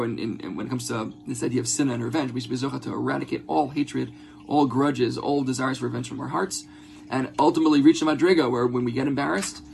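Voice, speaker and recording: Male religious teacher, brisk and conversational, earnest, with gravelly fry and slight background noise. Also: mid-range voice. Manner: earnest, conversational, repeats phrases and checks in with "right?". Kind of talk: informal religious lesson with text commentary.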